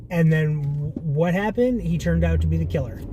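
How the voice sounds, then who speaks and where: A man's voice: a little high, moderate pace. A man from Massachusetts giving a casual, rambling spoken film review from inside a car, often restarting his sentences. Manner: rambling, conversational, frequent restarts. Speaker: movie reviewer. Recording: loud car road noise. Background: car engine and road noise.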